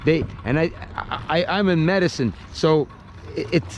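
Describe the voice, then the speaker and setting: A man's voice: a little high, a little hiss or hum. A man with a Russian accent touched by Brooklyn, chatting casually outdoors on the water, thoughtful, with frequent restarts. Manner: chatty, restarts often, speaks slowly and thoughtfully. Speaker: vlogger on a paddleboard. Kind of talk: casual chat.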